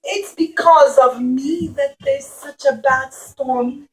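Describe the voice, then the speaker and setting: A woman's voice: high-pitched, takes it slowly, poor audio. A woman voicing an earnest, pleading prophet confessing his fault in a children's puppet show. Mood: pleading, remorseful.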